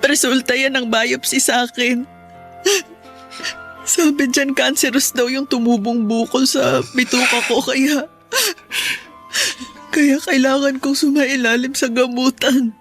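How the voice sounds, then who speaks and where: A woman acting a frightened young wife and mother in a Filipino radio drama, crying and speaking haltingly. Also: high-pitched, flat delivery, faint music behind. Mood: grave, shaken. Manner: tearful, halting, repeats phrases.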